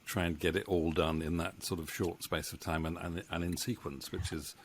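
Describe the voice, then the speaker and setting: A middle-aged man interviewing guests in a casual, halting, rambling way into a close microphone. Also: low voice, level tone, slight background noise. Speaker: interviewer, writer-producer. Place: indoor home room with bookshelves. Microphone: studio microphone on boom arm, close.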